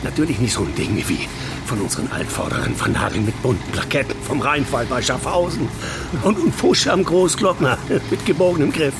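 An actor in a German radio play, playing an eccentric male aesthete who ponders grandly in pompous, halting phrases. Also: mid-range voice, very animated, music in the background. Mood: earnest, enthusiastic.

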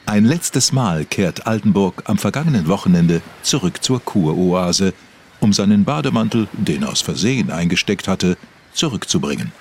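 A man playing a grave, deadpan podcast narrator, reading scripted mock-documentary text in a solemn way. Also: deep voice, moderate pace, slight background noise. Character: grave male true-crime podcast narrator. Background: music under the voice.

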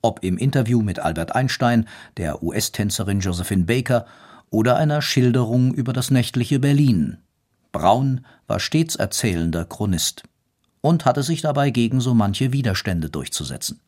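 A man's voice: mid-range voice, expressive, moderate pace, darkish tone. A man reading scripted documentary narration as a narrator.

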